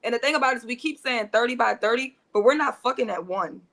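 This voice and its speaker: A woman debates casually as a podcast panelist. Her voice is slightly high and she talks quickly, with a blunt, slangy delivery. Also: a bit bright.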